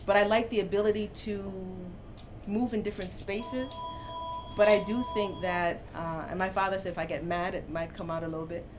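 A middle-aged woman born in Jamaica, a professor answering interview questions in a lively, storytelling way. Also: mid-range voice, brisk, background noise. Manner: animated storytelling, gets louder and laughs when amused. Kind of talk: conversational interview. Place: bookstore, seated in armchair indoors.

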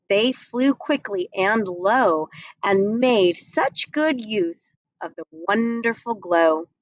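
A young adult woman reading a rhyming picture book aloud at a desk webcam, slow and expressive. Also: mid-range voice, dry recording, poor audio. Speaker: storybook reader. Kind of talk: read-aloud storytelling. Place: small indoor room.

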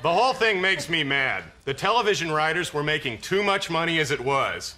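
Actor playing a bitter, animated sitcom dad, noisy recording. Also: male voice, fairly high pitch, brisk, close to an everyday voice. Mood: indignant. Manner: indignant, hammy. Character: bitter, hot-tempered sitcom father who snaps into rage. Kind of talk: broad sketch comedy acting. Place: television studio before an audience. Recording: noisy old TV studio recording.

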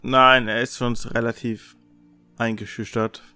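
A man casually moderating a tabletop roleplaying game as game master, halting and hesitant as he talks. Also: mid-range voice, level tone, sharp tone, clean tone, no echo, faint music behind.

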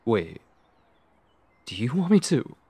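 Man acting a husky, nervous lovestruck yandere. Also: medium pitch, sing-song, dark tone, no room sound, exaggerated voice. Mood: shy, apologetic. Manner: stammering, halting, flustered, muttering to himself. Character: nervous, lovestruck, obsessive young man on a date. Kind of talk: intimate ASMR roleplay audio drama.

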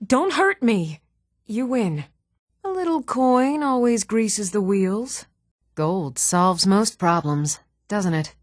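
A measured, expressive fantasy woman. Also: medium pitch, no echo, studio quality. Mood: matter-of-fact. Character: even-toned young adult woman of a fantasy world, steady and stern. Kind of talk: fantasy video game voice lines.

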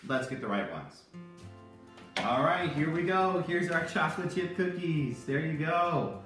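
Man, thirties, emphatic children's teacher, middle pitch, strong room echo, distant mic. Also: expressive, measured pace, music in the background. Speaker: children's Bible lesson teacher. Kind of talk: kids' sermon with a puppet sidekick. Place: home kitchen, indoors. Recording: very echoey room, distant microphone.

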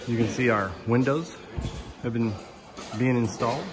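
Man narrating a walk-through amid loud site noise. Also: mid-range voice, normal speed. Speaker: school superintendent giving a tour. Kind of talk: unscripted walking tour narration. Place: indoor school construction site, walking. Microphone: handheld phone held by the speaker while filming. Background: loud building-site noise.

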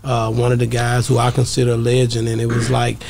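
A man's voice: mid-range voice, monotone, brisk, vocal fry, darkish tone, slight background noise. A man, chatting casually as a podcast host. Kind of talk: casual interview chat. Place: small podcast studio. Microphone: close studio microphone on boom arm.

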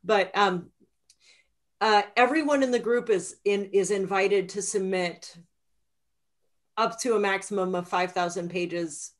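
A woman's voice: medium pitch, a little slow, long pauses. A woman in her forties, an author answering questions conversationally on a video call from home. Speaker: author guest. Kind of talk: conversational book-event Q&A. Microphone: video call computer mic.

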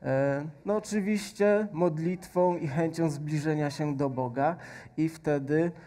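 A man in his thirties doing a stage science demonstration, explaining slowly with many fillers and adding a faith message, on a headset mic. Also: a little high, mellow. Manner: slow, patient explaining with frequent fillers. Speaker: science presenter. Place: large stage with audience hall.

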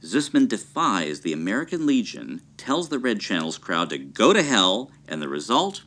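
Lively low-voiced male documentary host. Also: moderate pace. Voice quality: earnest explanatory commentary. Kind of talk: scripted documentary narration.

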